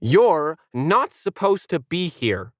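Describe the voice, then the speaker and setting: A man's voice: fairly high pitch, sing-song, slow, no echo, voice pushed up. A haughty she-dog who talks and gives the orders acted by a man in a science fiction story. The delivery is indignant and commanding, with very varied intonation and a dry, close sound. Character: bossy, haughty female talking alien dog.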